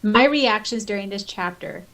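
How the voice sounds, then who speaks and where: Woman, mid-range pitch, podcast co-host, casual fan talk, expressive, informal, reading comments aloud. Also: normal speed, low-quality recording.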